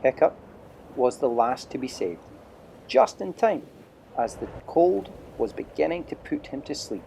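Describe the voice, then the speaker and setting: A man's voice: medium pitch, very animated, a little slow, creaky, warm tone, slight background noise. A man narrating an adventure storybook dramatically as part of an audio play.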